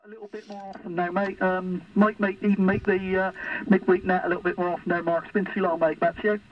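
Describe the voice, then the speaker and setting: A man's voice: high voice, level tone, fast talker. A friendly man calling in on a CB radio net, often saying mate. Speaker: CB radio caller. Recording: distant dull radio with faint noise.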